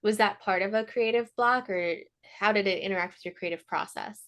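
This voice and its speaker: A woman hosts a book podcast, asking considered questions with short encouraging replies. Her voice sits in a middle pitch. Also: fairly quick, fairly bright tone. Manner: attentive, brief affirmations, thoughtful questions. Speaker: podcast host. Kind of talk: interview questions.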